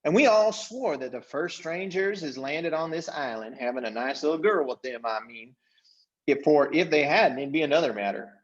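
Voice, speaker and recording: A man voicing a blustering, folksy invisible chief who rambles in rough rustic grammar, in a storybook reading. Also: fairly high pitch, animated, normal speed, lo-fi sound, lightly acted. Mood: rambling, matter-of-fact menace.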